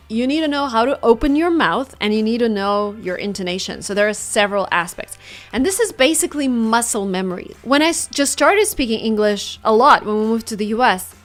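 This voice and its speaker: Upbeat woman with a Russian accent. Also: fairly high pitch. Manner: lively, demonstrating phrases with exaggerated intonation. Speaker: English teacher. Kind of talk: online English lesson.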